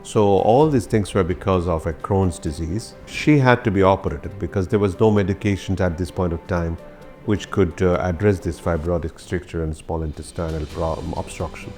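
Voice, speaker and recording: This is a man with a low-pitched voice. He talks as a surgeon laying out a case. Faint music runs underneath. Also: a little mellow. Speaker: consultant gastrointestinal surgeon. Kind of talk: explaining a case to camera.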